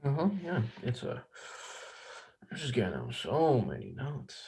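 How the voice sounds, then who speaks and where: A man's voice: mid-range voice, huge pitch swings, a little slow, a little mellow. A man playing an awkward, defensive psychologist with a hesitant, dry manner in a dramatic script reading. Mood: sheepish. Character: awkward, defensive adult psychologist working with young children.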